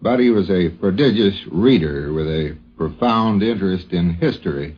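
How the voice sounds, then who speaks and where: An older man reminiscing as an interviewee in a deliberate, literary way. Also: deep voice, lively intonation, husky. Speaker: interviewee recalling an old friend. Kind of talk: measured spoken reminiscence. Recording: dull 1950s radio recording.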